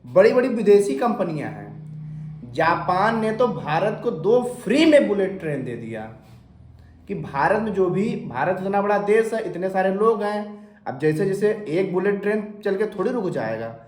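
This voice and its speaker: A man with a fairly high voice talks viewers through an investing explainer while nursing a sore throat, clearing it now and then. He keeps it conversational, posing questions and answering them himself, and the room around him rings with echo. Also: sing-song, normal speed, a little mellow. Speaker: finance explainer channel host. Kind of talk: informal explainer talk to camera.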